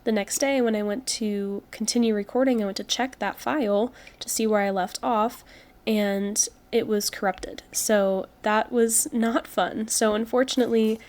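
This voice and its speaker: Stuffy-nosed young woman, chatty voice-over. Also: on the high side. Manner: relaxed, chatty, many fillers. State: stuffy from a cold. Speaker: game build commentator. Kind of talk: casual voice-over commentary.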